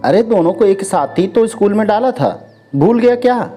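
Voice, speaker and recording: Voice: mid-range voice, lively intonation, normal speed, dark tone, slightly rough, soft background music, voice pushed up. A storyteller voicing a chatty middle-aged auntie with a familiar, questioning, puzzled way of talking.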